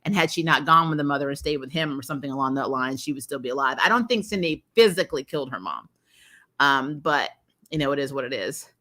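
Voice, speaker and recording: A woman hosting a casual, unscripted review, retelling scenes in slangy, animated talk and acting out reactions. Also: extremely low pitch, quick pace. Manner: animated, exclaiming, acts out reactions, laughs often; informal slangy talk with frequent "like" and "you know". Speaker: host of a TV episode review show.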